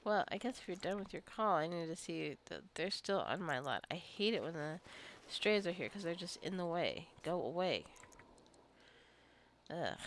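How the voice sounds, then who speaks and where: Gravelly-voiced woman rambling over gameplay. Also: lowish voice. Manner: rambling, thinking aloud. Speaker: gaming video creator. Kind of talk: casual unscripted gameplay commentary. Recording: clicking and faint background noise.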